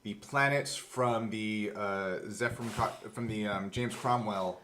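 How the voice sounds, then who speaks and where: A man's voice: lowish voice, fairly even intonation, takes it slowly, fairly bright tone, a little hiss or hum. A man co-hosting a casual behind-the-scenes chat, explaining things in a rambling, nerdy way with some profanity. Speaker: podcast co-host and game master.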